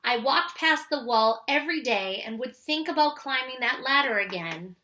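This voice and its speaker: A woman reading a picture book as an earnest, anxious male egg, with expressive, deliberate pauses. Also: sits high, a little hiss or hum. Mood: wistful, fearful. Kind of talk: picture book read-aloud narration.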